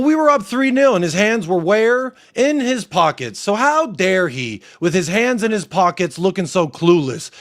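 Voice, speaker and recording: A man talking casually on a fan podcast, mocking his critics with sarcasm. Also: sits high. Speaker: fan pundit.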